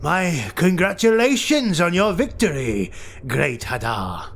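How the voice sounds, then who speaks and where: A man voicing a calculating dark elf warrior lord, measured, commanding and coolly formal, in a fantasy audiobook. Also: a little high, sing-song, gravelly, slight background noise, voice pushed up, exaggerated voice. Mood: conciliatory.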